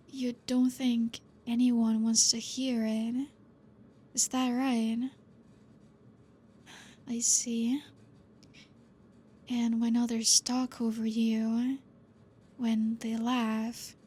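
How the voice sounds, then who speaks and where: A woman voicing a gentle, possessive female vampire professor in an ASMR roleplay, talking softly and coaxingly and slipping into whispers. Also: fairly high pitch, slightly rough, heavily acted. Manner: soft, slow and coaxing, drifting into whispers.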